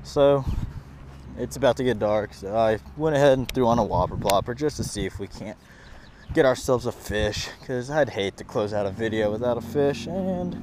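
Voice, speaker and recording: A young man in his twenties chatting casually in a fishing vlog, excitable and prone to blurting out exclamations. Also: mid-range voice, normal speed, noisy background. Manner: excitable, blurts out exclamations, says "freaking" a lot. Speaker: fishing vlogger. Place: pond bank outdoors, then home gaming room indoors. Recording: background music playing.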